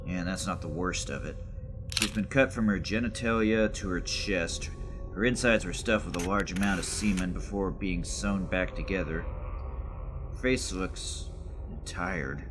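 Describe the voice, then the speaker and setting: An actor playing a matter-of-fact junior investigator reporting grim findings in an audio drama. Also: male voice, sits low, measured pace, over music.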